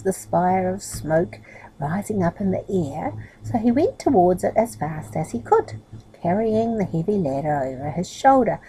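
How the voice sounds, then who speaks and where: A woman's voice: mid-range voice, mellow, slight background noise, low-quality recording. A woman reading a children's story aloud expressively, with chatty asides to a young listener. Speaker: storybook reader.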